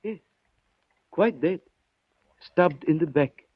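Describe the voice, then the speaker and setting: An actor playing a calm, courteous Chinese man in a 1940s radio drama, speaking in formal, measured phrases. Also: on the high side, slightly rough, no room sound, full character voice. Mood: polite, grave. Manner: formal, measured, avoids contractions. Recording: muffled vintage 1940s radio recording.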